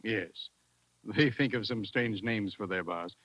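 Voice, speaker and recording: An actor playing a matter-of-fact, unruffled businesslike boss in a 1940s radio drama. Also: male voice, fairly low pitch, measured pace, a bit bright. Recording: dull vintage radio recording.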